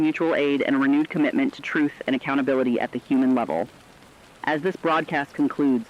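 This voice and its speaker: A man playing an earnest radio correspondent, delivering a scripted emergency broadcast in a formal, deliberate way. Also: medium pitch, fairly bright tone, lo-fi sound, lightly acted. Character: earnest radio correspondent in a world of failing technology. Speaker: broadcast co-anchor.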